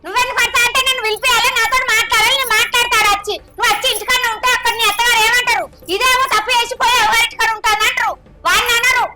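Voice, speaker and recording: A woman's voice: very high voice, quick pace, pushed and bright, rough recording. A woman playing a middle-aged village mother who lectures her daughter, speaking. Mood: heated. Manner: lecturing, insistent.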